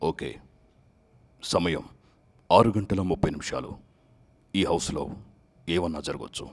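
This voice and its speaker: A man voicing a solemn, commanding male reality-show announcer who speaks formally in measured, authoritative phrases. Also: sits low, husky, voice pushed down, full character voice.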